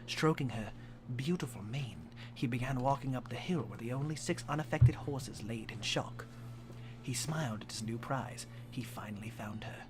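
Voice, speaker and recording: A man's voice: medium pitch, moderate pace, background noise. A young man reading a fan story aloud for a live stream, hamming it up with swearing and laughing asides. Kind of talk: comic dramatic reading with asides. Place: home streaming setup.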